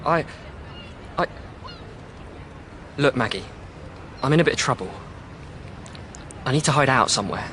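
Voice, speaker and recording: A man's voice: mid-range voice, animated, unhurried, long pauses, bright, forceful tone, slight background noise. An actor playing a frightened young man on the run, stammering and hesitant, in a radio drama. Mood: nervous, guarded. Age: young adult. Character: frightened, hesitant young male fugitive in trouble.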